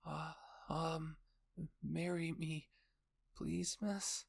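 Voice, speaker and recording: A man's voice: medium pitch, steady tone, moderate pace, creaky, breathy edge, no echo. A man voicing a shy, earnest little boy in love, stammering and hesitant, in a story reading.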